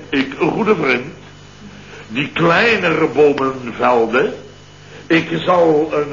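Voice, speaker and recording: Blustering, excitable radio-comedy father, swooping delivery. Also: on the high side. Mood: indignant, amused. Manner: theatrical, indignant storytelling with mimicked quotes. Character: blustering, excitable middle-aged Dutch father and builder. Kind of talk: late-1960s Dutch radio comedy acting. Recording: dull, roomy, noisy old radio recording.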